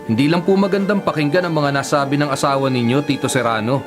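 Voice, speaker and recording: An actor playing a dignified young nephew, respectful but firm, in a Filipino radio drama. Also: male voice, medium pitch, fairly even intonation, quick pace, lightly acted. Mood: hurt, firm. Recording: music behind the dialogue.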